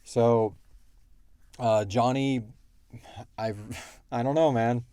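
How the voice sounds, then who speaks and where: A man co-hosting a casual question-and-answer chat, thinking aloud and explaining things in a halting way. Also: mid-range voice, fairly even intonation, dark tone, clean tone, dry recording. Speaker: podcast co-host and show creator answering fan questions.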